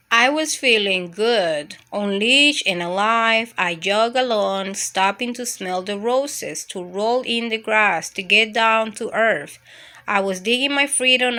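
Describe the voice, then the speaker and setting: A woman's voice: fairly high pitch, sharp tone, heavily acted. A woman voicing a mischievous, adventure-hungry dog who tells its own storybook escapade. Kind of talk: storybook first-person narration.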